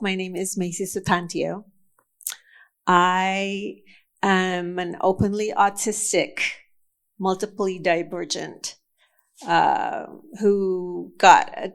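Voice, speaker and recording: Slow, deliberate woman from Indonesia speaking. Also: mid-range voice. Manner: slow and deliberate with frequent fillers and restarts. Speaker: conference speaker. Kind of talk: conference talk. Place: conference session room.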